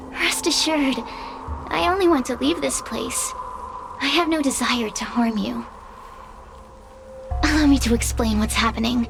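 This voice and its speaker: A woman voicing a sly, seductive possessing spirit in a video game, teasing and quietly menacing. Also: a little high, creaky. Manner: silky, teasing, deliberate.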